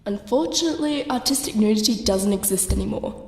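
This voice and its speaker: A woman's voice: medium pitch, expressive, fairly quick, creaky, darkish tone. A young woman acting in an audio drama as a blunt, suspicious, street-wise friend, with a brash, matter-of-fact manner. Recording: echoey room with faint noise.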